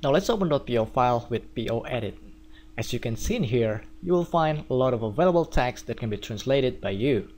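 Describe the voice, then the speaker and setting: A man's voice: mid-range voice, brisk. Indonesian-accented man explaining steps. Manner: steady step-by-step explaining. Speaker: theme developer. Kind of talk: screencast tutorial.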